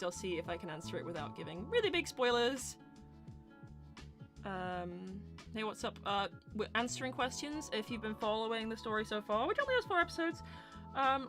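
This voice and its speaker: Chatty higher-voiced woman hosting a livestream. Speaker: host and storyteller of a live roleplay stream. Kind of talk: casual livestream Q&A chat.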